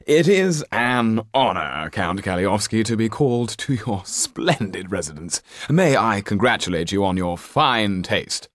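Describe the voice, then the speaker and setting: A man voicing a nervous, flattering male stage magician in a raised, fluttery voice. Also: on the low side, measured pace, hardly any pauses, no room sound, pitched above the performer's own voice. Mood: fawning.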